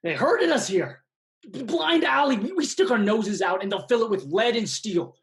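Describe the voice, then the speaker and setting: A woman's voice: fairly high pitch, sing-song, moderate pace. Cornered pirate fighter played by a woman, grim, anxious, with very mobile intonation. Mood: tense, grim. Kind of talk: staged play reading.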